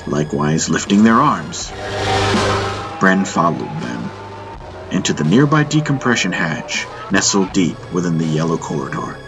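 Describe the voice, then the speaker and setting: A man reading a science-fiction audiobook as a dramatic scripted narration. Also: sits low.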